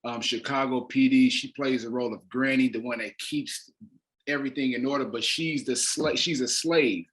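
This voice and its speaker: A man's voice: medium pitch, steady tone, moderate pace, fairly bright tone. American man in a casual promotional interview. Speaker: playwright and stage director, interview guest.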